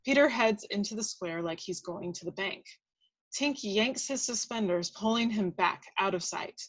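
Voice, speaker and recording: A woman's voice: medium pitch, moderate pace, rough recording. A woman reading scripted action descriptions aloud at a table read, now and then stumbling and correcting herself.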